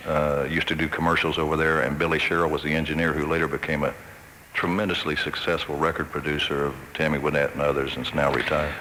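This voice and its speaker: A man's voice: very deep voice, flat delivery, fast, bright, forceful tone. A middle-aged man reminiscing as a guest in a radio interview, telling it matter-of-factly. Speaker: interview guest, ad agency head. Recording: faintly noisy radio aircheck.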